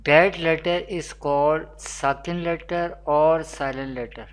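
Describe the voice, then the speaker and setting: Slow, deliberate teacher. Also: mid-range voice, steady tone, clean tone. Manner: slow, repetitive teaching delivery with Arabic recitation. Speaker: Quran teacher. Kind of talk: recorded online lesson.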